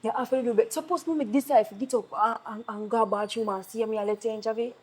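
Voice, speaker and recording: Nagging Jamaican sister, mellow highish voice. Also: female voice, steady tone, quick pace, breathy edge. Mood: stern, worried. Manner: reproachful, insistent, repetitive pleading. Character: worried, nagging sister reproaching her wayward brother.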